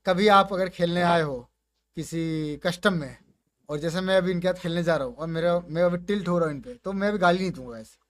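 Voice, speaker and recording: A man with an Indian accent and a high-pitched voice presents gaming content in a casual way. His talk is informal and slangy, switching between Hindi and English. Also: brisk, a bit bright. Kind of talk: casual commentary with demonstrations.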